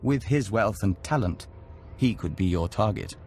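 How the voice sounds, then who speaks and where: A man playing a serene envoy joined to an alien hive in a video game, speaking with measured, mystical formality. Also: lowish voice, animated, darkish tone, slight background noise.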